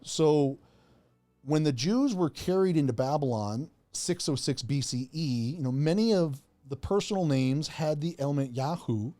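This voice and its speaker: Rambling man with a mellow voice. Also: a little high, animated, moderate pace, dry recording, faint music behind. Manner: rambling, many fillers and restarts. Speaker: Bible teacher. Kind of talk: unscripted teaching talk.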